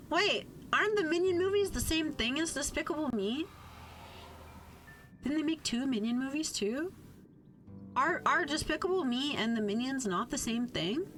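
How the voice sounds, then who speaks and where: A woman's voice: high voice, pushed and bright. A young woman livestreamer chatting casually, playful and informal, swearing freely. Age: young adult. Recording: music playing behind the voice. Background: music.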